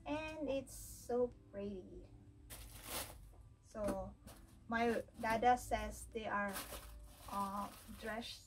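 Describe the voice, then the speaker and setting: A woman's voice: a little high, very slow, pauses often, far from the mic, over music, rough recording. A woman in her thirties with a Filipino accent, chatting casually through a haul unboxing at home and slipping Tagalog words into her English. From Philippines. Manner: chatty, mixes Tagalog into English, thinks aloud with fillers. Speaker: fashion vlogger. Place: home room with wooden drawers, indoors.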